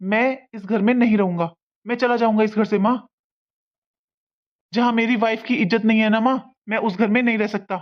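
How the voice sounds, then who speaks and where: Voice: medium pitch, fairly even intonation, normal speed, lightly acted. An actor playing a defiant young newlywed son in a cartoon family melodrama, earnest, firm and insistent. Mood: defiant, angry. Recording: dull lo-fi sound.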